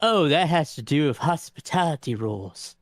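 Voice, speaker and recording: A man's voice: fairly high pitch, lively intonation, normal speed, no echo, studio quality, lightly acted. A man voicing a courtly, pious vampire in a tabletop game, prudish around ladies, with hesitant, stammering, old-fashioned politeness. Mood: obliging. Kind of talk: improvised tabletop roleplay.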